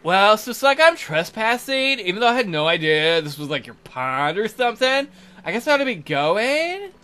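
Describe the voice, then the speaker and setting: A man's voice: sits high, very animated, normal speed, pushed and bright, clear, resonant tone, voice pushed up, heavily acted. A man voicing a ditzy young maiden who drawls and says "like" constantly, in a visual novel playthrough. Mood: sheepish. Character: ditzy young maiden with valley-girl speech.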